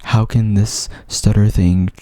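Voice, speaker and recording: A man's voice: fairly low pitch, level tone, slow pace, dark tone, dry recording. A young man with a stutter, earnestly telling his own story to his viewers, repeating sounds and words as he goes. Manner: earnest, open. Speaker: vlogger. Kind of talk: personal storytelling to camera.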